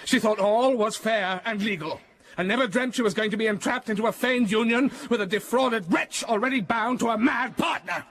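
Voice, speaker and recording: An actor playing a fierce, passionate gentleman bridegroom in a radio drama. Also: sits high, moderate pace, a little hiss or hum. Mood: vehement. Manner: forceful, passionate outbursts.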